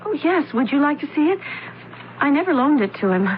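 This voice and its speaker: A woman's voice: high voice, very animated, fairly quick. On a dull, faintly hissy old radio recording, a young woman speaks with polite, anxious earnestness. She is hesitant and stammers slightly, in the style of a radio mystery. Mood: anxious, earnest. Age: young adult. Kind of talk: radio mystery drama acting.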